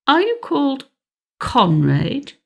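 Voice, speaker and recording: Woman playing a high, questioning young queen. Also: huge pitch swings, slow pace, gravelly, heavily acted. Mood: coy. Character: anxious young queen guessing a dwarf's name. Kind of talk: fairy tale audiobook character.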